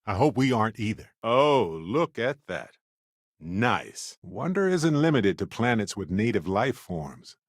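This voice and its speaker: An upbeat space explorer who travels as the player's companion in a video game. His fairly low voice swings freely up and down as he quips and reassures. The delivery is wry, warm-hearted and theatrically stressed, recorded dry. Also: sing-song, unhurried, no echo, full character voice. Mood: playful, wry.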